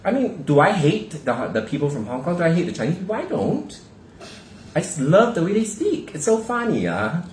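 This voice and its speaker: A man's voice: sits high, a little fast, roomy sound, slight background noise. A man with a Singaporean accent chatting as co-host and telling stories with a lot of animation. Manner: animated storyteller, puts on a Hong Kong accent. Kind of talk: casual chat.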